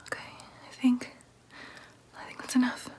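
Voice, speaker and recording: A woman voicing a gentle, businesslike vampire nurse in an ASMR roleplay, in a breathy whisper with a soothing clinical manner. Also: normal speed, lightly acted. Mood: soothing, coaxing. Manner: soothing, clinical, hesitant asides.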